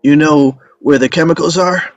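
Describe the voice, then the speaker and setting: Man acting a wry, gravelly old father. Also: fairly high pitch, moderate pace, no room sound, muffled recording, faint music behind, natural voice. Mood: wry, stubborn. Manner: folksy, evasive, joking storyteller. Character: wry ailing old father, a stubborn tall-tale teller. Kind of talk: dramatic script table read.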